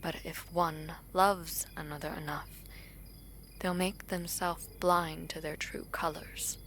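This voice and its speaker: Woman playing a slow, low-toned, guarded regal princess. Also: level tone, a bit bright, a little hiss or hum, full character voice. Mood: guarded, reflective. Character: guarded, regal princess, a proud and protective sister. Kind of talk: romantic fantasy audio drama.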